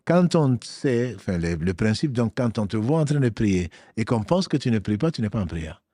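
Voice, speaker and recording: A man's voice: on the low side, very animated, normal speed, mellow, no echo, clean studio sound. A man answering as a religious teacher on a talk show. He explains rulings firmly and weaves in Arabic phrases.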